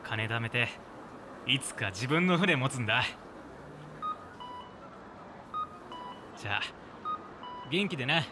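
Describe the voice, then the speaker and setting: A man's voice: mid-range voice, lively intonation, moderate pace, noisy background, lightly acted. Man acting a cocky young guy, swoopy delivery. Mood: frank, resolute. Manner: casual, rough masculine slang. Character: cocky, easygoing young male hairdresser turned fisherman. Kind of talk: audio drama acting. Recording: music behind the voice. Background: music.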